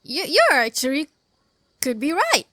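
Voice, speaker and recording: A woman's voice: high-pitched, wildly swooping pitch, a little slow, bright, forceful tone. A woman giving casual gameplay commentary, muttering to herself and repeating phrases as she plays. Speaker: gaming streamer. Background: game music.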